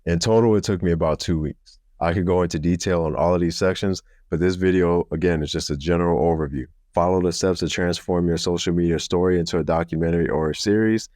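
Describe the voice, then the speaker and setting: A man with a deep voice giving a practical, matter-of-fact how-to overview, speaking deliberately slowly and clearly. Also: fairly even intonation, darkish tone, no room sound, studio quality. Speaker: video creator giving a how-to. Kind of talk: instructional talk to camera.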